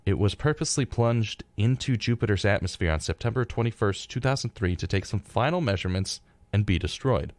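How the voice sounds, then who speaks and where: Low-voiced young American man, dry studio sound. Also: expressive, fairly quick, dry recording. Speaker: radio show host. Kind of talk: casual conversational radio talk. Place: radio studio.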